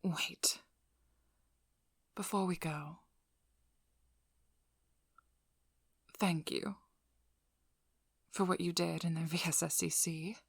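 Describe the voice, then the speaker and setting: A woman playing a composed, guarded female vampire hunter in an ASMR role-play, breathy and often whispering, with controlled, firm delivery. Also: on the low side, no echo, clean studio sound, heavily acted. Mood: softened, sincere. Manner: slow, soothing instructions, firm and controlled. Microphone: close ASMR microphone.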